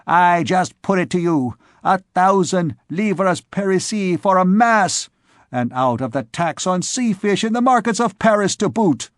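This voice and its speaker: A man voicing a sharp-tongued old woman, a fishwife grumbling from the crowd in an old storybook tale. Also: high voice, expressive, unhurried, no room sound, studio quality, voice pushed up. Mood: indignant. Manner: sharp, complaining.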